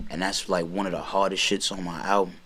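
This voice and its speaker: A young man with a very deep, low voice. He talks in a laid-back, rambling way, full of fillers, as a rapper guest in a casual sit-down chat. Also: flat delivery, fast talker, bright, forceful tone, slightly rough. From Brooklyn, New York.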